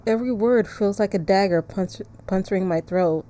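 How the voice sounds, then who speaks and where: A woman with a soft-edged, mellow voice streams a narrative game. She moves between casual commentary and reading the text aloud, at a middling pitch for a woman. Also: moderate pace. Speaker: streamer playing a visual novel.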